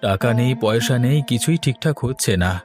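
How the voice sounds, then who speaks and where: A man acts out an ailing village fisherman in a cartoon. He sounds worn down and without hope, in a mid-range voice. Soft music plays underneath. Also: mid-range voice, brisk, lightly acted. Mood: despairing. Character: weary, ailing, despairing poor fisherman. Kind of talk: cartoon story dialogue.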